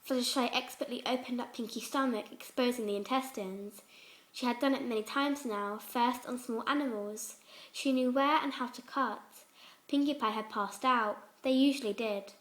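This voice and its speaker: A woman's voice: a little high, moderate pace. A woman narrating a horror fan fiction story in a scripted, dramatic reading style.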